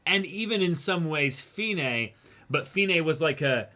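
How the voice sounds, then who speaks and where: A man's voice: on the high side, lively intonation, a little slow, pushed and bright. A man co-hosting a casual, emphatic discussion of a show, talking animatedly and swearing freely. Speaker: co-host of an anime reaction discussion show.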